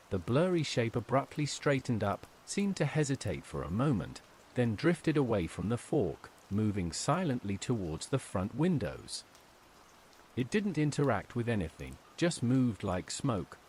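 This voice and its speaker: Male horror narrator with lively intonation. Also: medium pitch, slight background noise. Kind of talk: scripted first-person horror narration.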